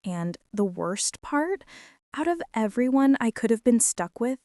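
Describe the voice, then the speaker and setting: A woman performs a bratty schoolgirl bully in an intimate ASMR roleplay. Her voice sits fairly high and swings expressively through sarcastic, exasperated grumbling. The sound is very dry, as she grudgingly addresses the listener. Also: sing-song, measured pace, a little mellow, no room sound. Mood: sarcastic, annoyed. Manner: whiny, sarcastic, exasperated complaining with sighs. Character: bratty teenage girl bully, grumbling, secretly softening toward tentmate.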